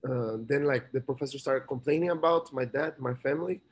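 A young man from Brazil with a Brazilian Portuguese accent, speaking halting English as an interview guest. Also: medium pitch. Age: young adult. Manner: halting, searching for words. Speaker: interviewee, college swimmer. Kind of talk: casual interview talk.

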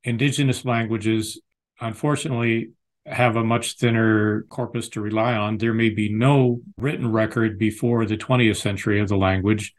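Measured low-voiced American man. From United States. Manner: thoughtful, measured, with frequent restarts. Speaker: lexicographer and author. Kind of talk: interview answers.

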